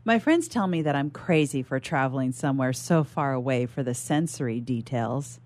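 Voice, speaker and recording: A woman giving an expressive first-person reading of a short story as a guest writer. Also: a bass voice, moderate pace.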